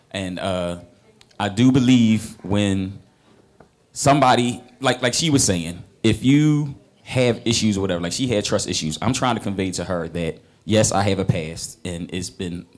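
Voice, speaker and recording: Low-voiced singer-actor speaking earnestly. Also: male voice. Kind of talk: interview answers. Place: restaurant with wood-paneled walls. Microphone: handheld mic.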